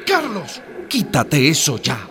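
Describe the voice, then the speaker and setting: A man's voice: fairly high pitch, wildly swooping pitch, takes it slowly, crowd noise, full character voice. An actor playing a stern, angry father in a radio drama, harsh and commanding. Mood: enraged. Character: stern, homophobic, angry father of a young child.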